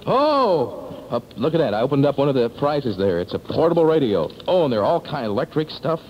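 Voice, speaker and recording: A man's voice: medium pitch, big pitch swings, brisk, slight background noise. A man working as a playful, showy radio disc jockey, talking in 1960s top-40 patter on an old AM broadcast. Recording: dull 1960s AM radio aircheck.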